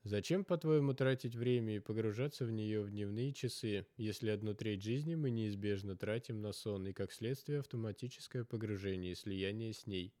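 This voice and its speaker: A man's voice: fairly low pitch, normal speed, few pauses, dark tone, dry recording, studio quality, close to an everyday voice. A man voicing an inquisitive, persistent male adventurer in a narrated story. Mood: probing.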